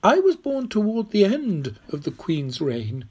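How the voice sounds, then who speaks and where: A narrator voicing a little old Victorian woman in an audiobook, insistent, tender and delighted, with drawn-out phrases. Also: mid-range voice, wildly swooping pitch, darkish tone, breathy edge, pitched above the performer's own voice, heavily acted. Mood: patient. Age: older. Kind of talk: audiobook character voice.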